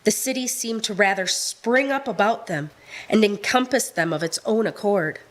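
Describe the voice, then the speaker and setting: A woman's voice: medium pitch, moderate pace. A woman narrating a live radio play as scripted storytelling.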